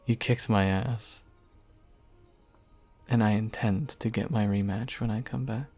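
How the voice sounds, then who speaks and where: A man voicing a devoted young knight who earnestly confesses his love, speaking softly and haltingly. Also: medium pitch, creaky, slightly rough, exaggerated voice. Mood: reassuring. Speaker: voice actor. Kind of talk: audio roleplay acting.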